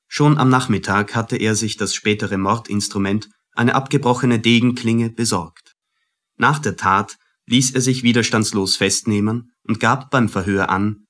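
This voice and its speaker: Narrator: man, lowish voice, factual, measured scripted documentary read. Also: fairly bright tone.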